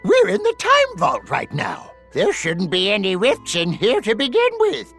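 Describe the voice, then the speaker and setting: Creaky, slow-paced suspicious guardian. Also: female voice, medium pitch, huge pitch swings, a bit bright, soft background music, heavily acted. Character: serious, suspicious guardian investigating strange happenings. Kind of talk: animated game cutscene acting.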